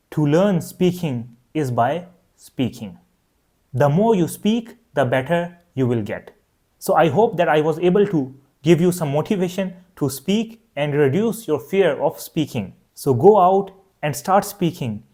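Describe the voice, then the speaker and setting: Man, native Urdu speaker, young adult, online language teacher, clear steady explaining, slightly high voice, very lively intonation. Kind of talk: scripted online lesson.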